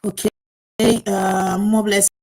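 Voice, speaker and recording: A woman hosts a live online talk, speaking through an earpiece. Her pitch sits in the middle of the usual range. She sounds flustered, thinks aloud and keeps restarting her sentences with fillers. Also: little pitch movement, measured pace, a little mellow. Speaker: host of an online program.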